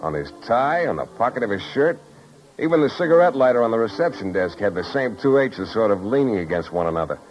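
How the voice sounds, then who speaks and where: An actor playing a wry, tough private detective in clipped 1950s radio style. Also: male voice, fairly low pitch, very animated, a little fast. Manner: wry, hard-boiled, clipped. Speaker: lead actor and narrator. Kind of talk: 1950s radio detective acting, first-person narration. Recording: dull 1950s radio recording, music behind.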